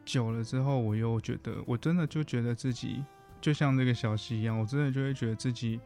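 A man's voice: medium pitch, steady tone, quick pace, warm tone, faint music behind. A man with a Taiwanese accent talking reflectively as a guest counselor in a casual interview, often rephrasing his points.

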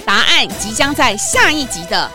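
A woman's voice: on the high side, sing-song, moderate pace, over music. A woman narrator telling a children's detective story in a dramatic, scripted style.

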